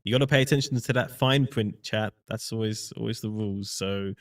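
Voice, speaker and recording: A man's voice: on the low side, a little fast, distant mic. A man hosting a live stream as community manager, upbeat and chatty, talking to chat and guests.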